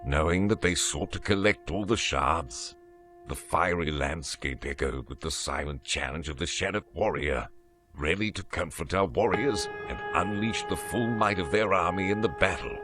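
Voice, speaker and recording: A man's voice: deep voice, normal speed, fairly bright tone. A man delivering scripted narration for a fantasy game story. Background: music.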